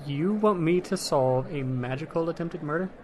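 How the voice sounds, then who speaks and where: An actor playing a matter-of-fact fire mage turned detective, talking conversationally in a comedic fantasy audio drama. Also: male voice, on the high side, normal speed, darkish tone, close to an everyday voice.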